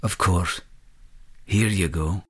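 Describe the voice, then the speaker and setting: A man's voice: deep voice, takes it slowly, slightly rough, exaggerated voice. A man playing an entranced guard in a radio play, dreamy, obedient and hopelessly devoted. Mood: dreamy, adoring. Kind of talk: audio drama acting.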